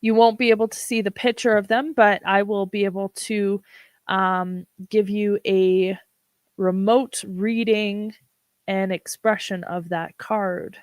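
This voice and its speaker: A woman hosting a livestream, talking casually with a froggy, hoarse voice and many ums. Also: medium pitch, fairly even intonation, dry recording. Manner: unhurried, with frequent ums. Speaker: livestream host.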